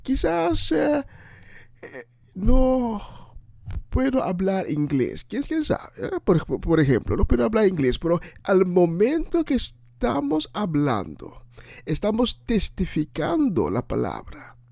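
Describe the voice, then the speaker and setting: Guy with doing radio preaching and shoutouts, kinda creaky voice, halting but emphatic, dry close sound. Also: sits high, huge pitch swings, no echo. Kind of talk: informal on-air preaching and greetings.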